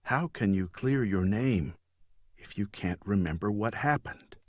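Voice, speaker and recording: A man narrating scripted fiction as an audiobook reader, with grave, measured storytelling. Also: lowish voice, animated, no echo, clean studio sound.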